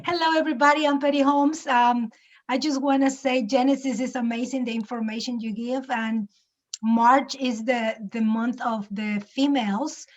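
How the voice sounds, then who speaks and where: Woman from Veracruz, Spanish first language, Mexican accent, halting and grateful, video call audio. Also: on the high side, fairly even intonation, highs cut off. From Mexico, Veracruz coast. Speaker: conference guest. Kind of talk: casual chat on a video call.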